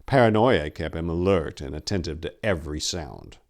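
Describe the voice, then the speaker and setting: A man giving a solemn, deliberate dramatic reading of a dark fantasy horror story, in a theatrical manner. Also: very deep voice, animated. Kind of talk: dramatic audiobook narration.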